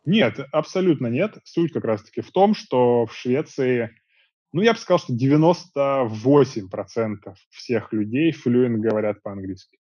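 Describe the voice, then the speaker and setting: A man in his thirties, an interview guest, chatting casually in long, relaxed stretches from a small room at home over earbuds on a video call. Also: mid-range voice, normal speed, husky, no room sound. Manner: relaxed, talks in long rambling stretches with fillers. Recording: dull dry video call audio.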